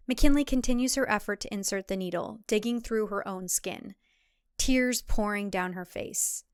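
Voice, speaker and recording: A woman's voice: mid-range voice, moderate pace, smooth, no echo, studio quality. A woman narrator reading a scripted thriller in a dramatic storytelling style.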